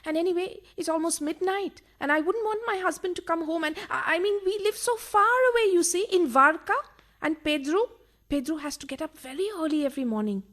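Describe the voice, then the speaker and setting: An actress playing an exasperated mistress who poses as a fussy wife in a radio farce, bossy yet over-polite when cornered. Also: female voice, very high-pitched, brisk, a bit bright. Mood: anxious, polite. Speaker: actor.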